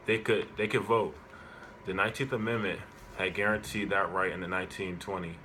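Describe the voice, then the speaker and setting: Low-pitched man reading an informative speech. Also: steady tone, brisk, bright, forceful tone, a little hiss or hum. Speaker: student speaker giving an informative speech. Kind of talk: prepared informative speech, read aloud.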